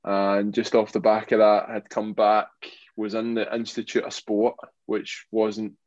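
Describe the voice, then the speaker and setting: A man's voice: fairly low pitch, monotone, measured pace, darkish tone, no echo, lo-fi sound. A young Glaswegian man, a rugby player turned boxer, answering interview questions in a rambling way, full of fillers and repeated phrases. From Scotland.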